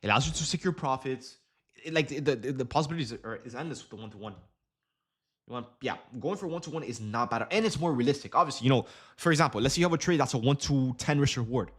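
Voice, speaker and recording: A man's voice: medium pitch, lively intonation, fast talker. A young man talks through his trades on a livestream in a loose, slangy way. He keeps breaking off into fillers and restarts while he explains, never settling into a set pace. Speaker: day trading streamer. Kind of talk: casual livestream chat while trading.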